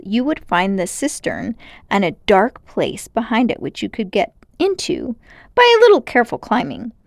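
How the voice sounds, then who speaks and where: A woman reading a children's story aloud as an expressive narrator. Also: medium pitch, dry recording.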